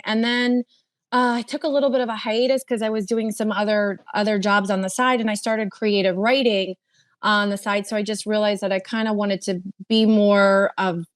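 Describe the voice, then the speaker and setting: A woman in her forties from South Florida, a screenwriter speaking on a panel in a chatty way, often repeating words. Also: medium pitch. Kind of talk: casual panel talk.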